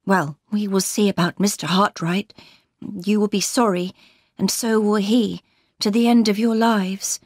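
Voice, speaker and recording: A woman's voice: mid-range voice, fairly even intonation, a little slow, a little mellow, dry recording, studio quality, close to an everyday voice. A woman voicing a cruel, menacing aristocratic husband in an audiobook, with a sneering, threatening delivery.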